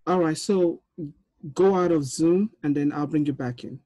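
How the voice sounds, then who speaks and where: A man's voice: on the high side, normal speed, dark tone, dry recording. A man in his thirties speaking casually as he hosts a group video call over an earbud headset in a small room. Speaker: host, film director running a table read.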